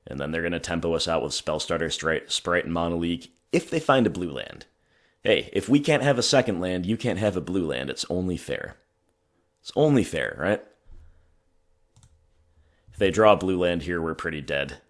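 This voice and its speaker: A hoarse man thinking aloud in a wry, self-mocking way as he commentates his own gameplay. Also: low voice, a bit bright. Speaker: gaming channel host.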